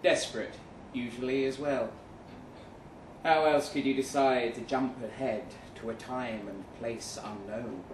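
A man reading a first-person short story aloud dramatically, with measured delivery and deliberate pauses. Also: medium pitch, steady tone. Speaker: short story reader at a live event. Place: event room in a pub. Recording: roomy, distant mic with faint noise.

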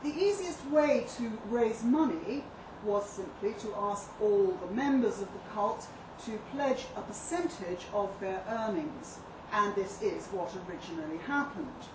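A woman playing an earnest, articulate author giving an interview, read live as a measured, deliberate dramatic monologue. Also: mid-range voice, lively intonation, lightly acted. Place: live reading in a pub venue. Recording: distant echoey live room, noisy background.

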